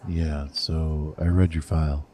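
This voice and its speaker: An actor playing a gruff, wary veteran male detective with a dry, blunt manner. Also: rumbling low, flat delivery, measured pace, mellow.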